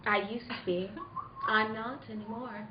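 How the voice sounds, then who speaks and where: A woman's voice: a little high, fairly even intonation, moderate pace, lightly acted. A woman playing a dreaming girl in a radio drama, insistent as she reasons with and reassures her other self. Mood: calm, dismissive. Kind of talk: amateur radio drama acting. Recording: echoey, dull, faintly noisy room recording.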